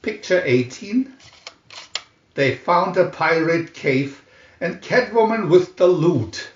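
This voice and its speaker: A man narrating a scripted storybook in a deliberate, theatrical announcing manner. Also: high voice, expressive. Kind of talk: dramatic scripted storybook reading. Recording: dull lo-fi, roomy echo.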